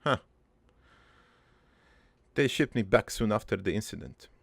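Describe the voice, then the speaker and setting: A man playing a gruff, crude army veteran turned prankster, telling his regretful life story in a halting, matter-of-fact ramble for an improvised cartoon monologue. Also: fairly low pitch, expressive, no echo, full character voice. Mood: somber, weary.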